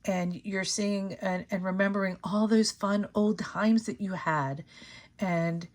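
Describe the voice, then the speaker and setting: Slightly hoarse woman reading tarot. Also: medium pitch, steady tone, slow pace. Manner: thinks aloud with many fillers and restarts. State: slightly hoarse, losing her voice. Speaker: tarot reader and channel host. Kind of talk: casual tarot reading to camera.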